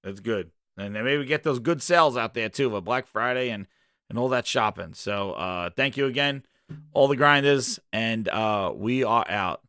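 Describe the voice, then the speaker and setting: A man hosting a casual gaming livestream, hoarse and rambling, launching into animated rants. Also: medium pitch, moderate pace, no room sound, clean studio sound. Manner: animated ranting, loose and rambling. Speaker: gaming podcast host.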